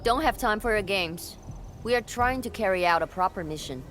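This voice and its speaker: A woman's voice: medium pitch, animated, moderate pace, background noise, close to an everyday voice. An actress voicing a stern, confident female guard officer in a video game, curt and commanding with dry teasing. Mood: brisk, stern. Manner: curt, commanding, dryly mocking.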